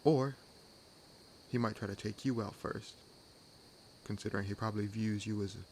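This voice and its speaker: A man playing a wry, world-weary vampire hunter in an intimate audio roleplay, teasing and sardonic, with unhurried pauses. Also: fairly low pitch, fairly even intonation, a little mellow, a little hiss or hum, close to an everyday voice. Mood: wary, calculating. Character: wry, world-weary one-armed male vampire hunting his own kind. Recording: nature ambience laid under voice. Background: forest ambience with crickets and insects.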